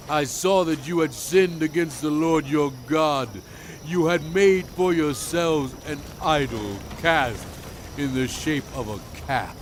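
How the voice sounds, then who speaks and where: A man acts out a stern, grave male prophet rebuking his people in a dramatized scripture audio drama. He speaks very slowly and solemnly, leaning on key words with deliberate oratory. Faint outdoor ambience with insects sits behind the voice. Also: on the high side, a little hiss or hum, natural voice. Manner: grave, deliberate, emphatic phrasing.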